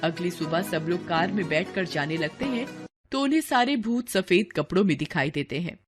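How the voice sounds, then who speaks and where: A woman's voice: fairly low pitch, lively intonation, normal speed. A woman narrating a scripted cartoon story for children as a storyteller. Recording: loud music under the voice. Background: music.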